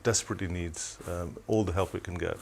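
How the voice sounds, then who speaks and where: A man's voice: low-pitched, steady tone, fast talker, gravelly, a little mellow, slight background noise. An older man from Tanzania, a studio guest on a telethon, speaking reflectively with many restarts.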